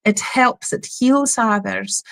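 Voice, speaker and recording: Slow hesitant Polish-accented woman. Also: on the high side, vocal fry, dry recording, low-quality recording. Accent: Polish with a Scottish tinge. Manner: hesitant, many ums and uhs. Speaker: guest, spiritual healer. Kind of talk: interview guest.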